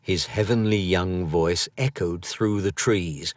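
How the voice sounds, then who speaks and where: Male audiobook narrator, lowish voice, unhurried, theatrical delivery, dry studio sound. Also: no echo. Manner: theatrical, expressive storytelling. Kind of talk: scripted storybook narration.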